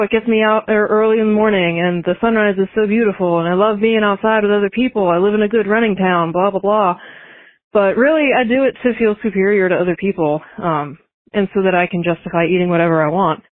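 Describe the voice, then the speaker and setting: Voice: medium pitch, no echo. A caller leaving a casual, wry voicemail over a tinny phone line.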